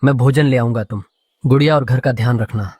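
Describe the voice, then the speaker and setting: A man voicing a gentle, caring father bird in a cartoon, with a reassuring manner. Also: medium pitch, fairly quick, slightly rough, natural voice. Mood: calm. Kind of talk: animated story dialogue.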